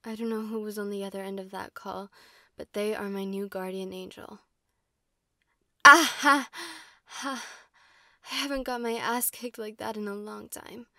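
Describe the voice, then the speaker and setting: A woman's voice: medium pitch, steady tone, normal speed, sharp tone, no echo, clean studio sound. A woman playing a plucky, wisecracking young superhero in an audio drama, defiant in manner. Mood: shaken, resolute.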